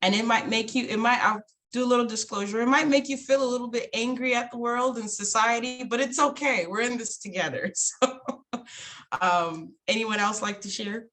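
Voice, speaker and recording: A young woman from Zimbabwe, presenting a casual online finance workshop over a video call in a relaxed, chatty way with many fillers. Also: a little high, normal speed.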